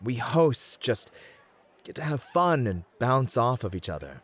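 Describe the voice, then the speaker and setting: A man's voice: mid-range voice, sing-song, unhurried. A man playing a suave, competitive club host who flirts and teases theatrically in an ASMR roleplay. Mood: fond, relaxed. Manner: smooth-talking, teasing, theatrical.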